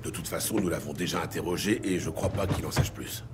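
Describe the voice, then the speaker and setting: An actor playing a hard-boiled New York police detective in a noir audio drama, terse, cynical and streetwise, with a gravelly voice. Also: male voice, low voice, brisk, background noise, lightly acted. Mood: measured pressure. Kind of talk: noir audio drama acting and first-person narration.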